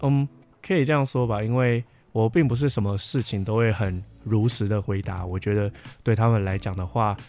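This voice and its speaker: A young man with a Taiwanese Mandarin accent chats casually and reflectively, explaining at length and softening his opinions. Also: on the low side, steady tone, fairly quick. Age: young adult. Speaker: camp counselor sharing experiences. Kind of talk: casual podcast chat. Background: background music.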